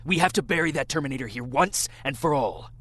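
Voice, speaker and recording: A man's voice: mid-range voice, expressive, quick pace, a bit bright. An actor playing a grimly determined male resistance soldier in a sci-fi game, terse and serious in delivery. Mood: grave, insistent. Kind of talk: dramatic game cutscene acting.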